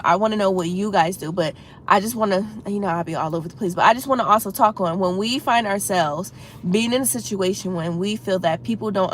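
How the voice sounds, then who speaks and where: Female livestream host, congested and slightly froggy, earnest and encouraging, low rumble in the background. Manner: earnest, encouraging, talks straight to chat with many "you know"s. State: congested with a head cold. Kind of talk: casual livestream chat.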